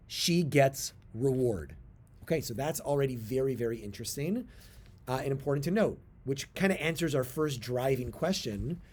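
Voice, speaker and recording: A middle-aged man with a mid-range voice teaches a lesson on religious texts. He puts deliberate weight on important words, pauses, and restates his points as he explains them. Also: mid-range voice, a little mellow. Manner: deliberate emphasis on key words, pausing and rephrasing. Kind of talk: explanatory video lesson, reading and translating texts.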